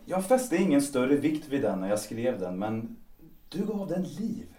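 An actor playing a proud, restless young composer and lover in a period audio drama, with a theatrical, moody, romantic delivery. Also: male voice, mid-range voice, very animated, dark tone, close to an everyday voice. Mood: admiring, musing. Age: young adult. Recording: roomy, distant from the mic.

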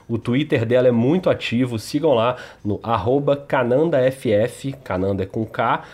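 A male podcast host speaks conversationally. His voice sits at an average pitch, and he addresses the listener directly as he presents. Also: normal speed, darkish tone.

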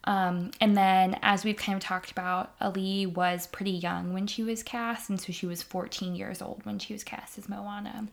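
A woman's voice: mid-range voice, level tone, moderate pace, vocal fry, hardly any pauses. A woman co-hosting a movie podcast, talking casually with lots of ums and light laughs. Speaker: podcast co-host.

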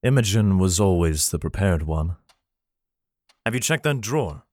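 A man's voice: low-pitched, expressive, normal speed, darkish tone, no echo. An actor playing an anxious, haunted father in a sci-fi audio drama, with an intense, theatrical delivery. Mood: weary, tense. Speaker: lead actor and narrator.

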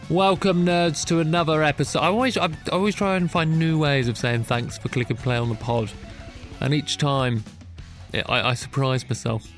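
A young man with a British accent, a little high for a man, chats through a nature podcast with a stuffy, bunged-up voice. He mocks himself and laughs at his own slips. Faint music plays under him. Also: steady tone, normal speed. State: bunged up with a cold. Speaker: podcast host. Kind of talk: casual chat.

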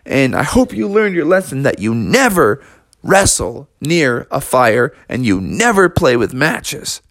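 A man's voice: high voice, a bit bright, no room sound, pitched above the performer's own voice, heavily acted. A man doing a fussy, scolding father bear. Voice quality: raised, scolding.

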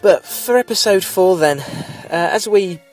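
A man's voice: high-pitched, measured pace, soft background music. A man in his thirties with a cold, sounding nasal and bunged up as he hosts a casual, rambling TV recap podcast. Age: 30s. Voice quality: nasal, congested. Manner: rambling, digressive, apologetic asides. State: has a cold. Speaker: podcast host.

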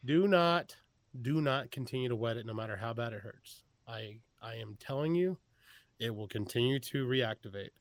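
A man's voice: mid-range voice, expressive, normal speed, no echo. A man with a Texas accent, chatting casually as a podcast guest, often restarting his sentences. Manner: rambling, frequent restarts, chuckles. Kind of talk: casual chat.

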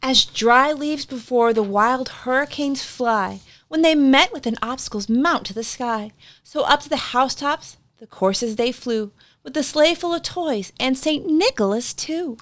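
Female poetry reader, high-pitched, dry studio-like sound, dramatic storybook delivery. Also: a little slow, fairly bright tone, no room sound. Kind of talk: dramatic reading of a rhyming poem.

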